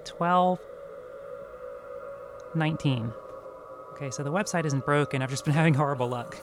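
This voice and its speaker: A man's voice: fairly high pitch, moderate pace, over music. A man chatting casually as a player in a tabletop roleplaying game. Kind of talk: casual chat during a game.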